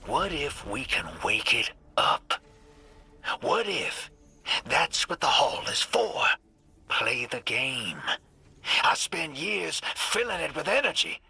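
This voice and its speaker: Wry game adventurer; lightly high, lively pitch, colloquial delivery over loud music. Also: male voice, slow pace, creaky, breathy edge, full character voice. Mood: urgent, musing. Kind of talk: dramatic video game acting.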